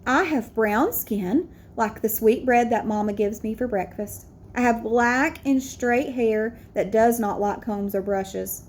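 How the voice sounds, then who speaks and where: A woman's voice: on the high side, measured pace, few pauses. A woman voicing a proud, earnest young immigrant schoolboy telling his own story in a picture-book read-aloud. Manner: earnest, declarative.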